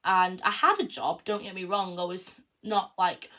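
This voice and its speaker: A woman's voice: lowish voice, normal speed, pushed and bright. A young woman in her early twenties hosts a podcast, talking through it in a casual solo monologue. She is frank and self-affirming, and fills her talk with "like" and "you know what I mean". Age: early 20s. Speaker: podcast host.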